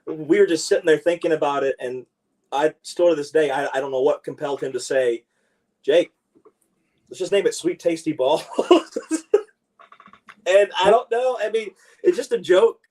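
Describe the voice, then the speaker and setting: A man's voice: a little high, moderate pace, darkish tone. A young man, a wrestling guest, chatting loosely and enthusiastically from his home in the countryside. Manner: laughs often, loose and enthusiastic. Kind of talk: casual interview chat. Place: rural home. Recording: distant mic.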